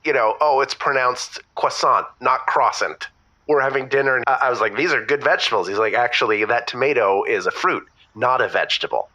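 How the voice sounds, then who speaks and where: A man's voice: medium pitch, brisk, pushed and bright, poor audio. An actor playing an aggrieved, petty man calling a radio show, chatty, defensive and exasperated. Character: aggrieved, petty male radio caller griping about his partner. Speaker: caller. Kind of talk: chatty comedic call-in complaint.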